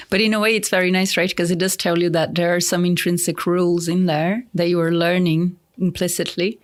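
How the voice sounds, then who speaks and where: A woman's voice: on the low side, little pitch movement, normal speed. Woman explaining language patiently. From Brazil. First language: Portuguese. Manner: affirming, explains patiently, many yeahs. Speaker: guest language scientist. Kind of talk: casual explanatory conversation.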